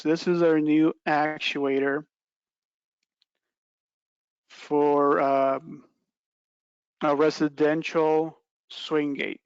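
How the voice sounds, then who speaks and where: A man's voice: fairly high pitch, clear, resonant tone, no echo, highs cut off. A middle-aged man giving an informal product presentation on a video call, explaining step by step and checking in with "right" and "okay". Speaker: product trainer presenting a webinar. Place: small plain indoor room, video call.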